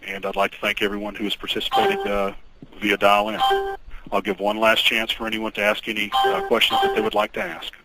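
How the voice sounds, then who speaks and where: A man speaking as a company executive, answering questions on an investor conference call in a measured, formal, guarded way. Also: lowish voice, little pitch movement, over loud music. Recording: noisy conference call recording.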